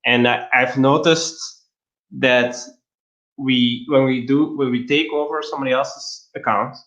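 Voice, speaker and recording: A man's voice: medium pitch, fairly even intonation, measured pace, a bit bright. Man in a roomy, dull recording. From Belgium. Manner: informal, explains step by step. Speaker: interview guest, LinkedIn marketer. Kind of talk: casual explanatory interview talk. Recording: roomy, slightly dull online-call audio.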